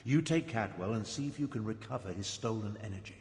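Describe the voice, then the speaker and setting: Man acting a steady game companion, slightly low voice, resolute, hall reverb, faint noise. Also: fast, natural voice. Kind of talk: video game character acting.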